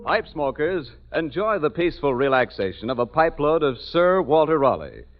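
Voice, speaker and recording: Animated American radio pitchman, higher-pitched male voice. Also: normal speed, soft background music. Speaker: radio advertising announcer. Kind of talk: 1940s radio commercial, scripted. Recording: dull 1940s radio recording.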